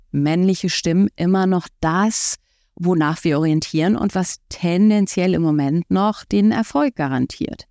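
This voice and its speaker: A woman with a mid-pitched voice talks as an expert guest on a podcast. She explains things conversationally, reaching for everyday examples. The recording is dry and close. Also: darkish tone, no room sound.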